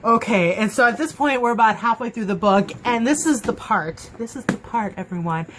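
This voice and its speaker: A woman's voice: on the high side, animated, moderate pace. Young woman gushing about a book she loved, chatty, lots of um and like, bit of faint hiss. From Mexican and Cuban heritage. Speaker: book reviewer. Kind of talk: casual book review vlog.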